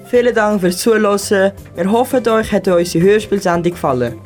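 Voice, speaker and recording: A man's voice: high-pitched, moderate pace, darkish tone, soft background music. A teenage boy co-presenting a scripted school radio programme. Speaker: student presenter.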